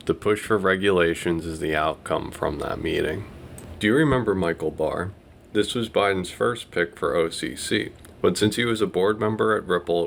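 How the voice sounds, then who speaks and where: A man's voice: low voice, a bit bright. A man hosting a video, delivering scripted commentary in a narrating style. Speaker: video host. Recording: light background noise.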